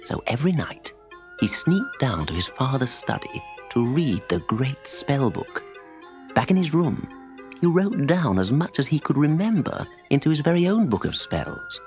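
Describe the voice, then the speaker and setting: Expressive male storyteller with lively pitch. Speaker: story narrator. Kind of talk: scripted children's audiobook narration.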